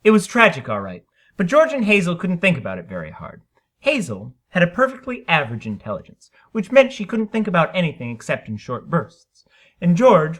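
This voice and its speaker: Animated, bright-voiced man reading a story. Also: a little high. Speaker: story reader. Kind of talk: dramatic scripted narration of a short story.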